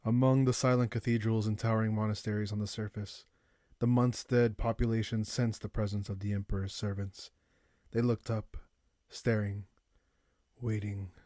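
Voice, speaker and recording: A man narrating a dark science fiction audiobook in a solemn, grave, dramatic manner. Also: lowish voice, level tone, normal speed, no room sound, studio quality. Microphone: close, dry studio-style microphone.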